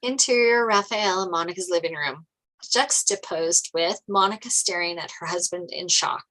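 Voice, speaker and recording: A woman's voice: fairly low pitch, moderate pace, bright, forceful tone, poor audio. A woman reading screenplay stage directions as scripted narration.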